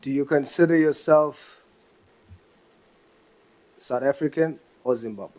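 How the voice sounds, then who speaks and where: A man questions a witness at a committee hearing, pressing and insistent, circling back to the same question. His voice is mid-pitched and plain. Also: measured pace, a little mellow. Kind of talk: parliamentary questioning.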